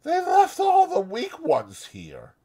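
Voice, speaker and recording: High, taunting young ranch wife. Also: male voice, wildly swooping pitch, slow pace, warm tone, exaggerated voice. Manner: taunting, pouty, rural slang. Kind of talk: dramatized audiobook character voice.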